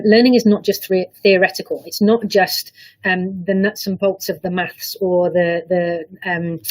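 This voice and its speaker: A British woman speaks over a video call in a slightly husky, breathy voice, explaining her ideas earnestly and at length. She is a university director giving considered answers in a panel interview, with small restarts as she thinks. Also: on the low side, low-quality recording. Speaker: university director of student employability.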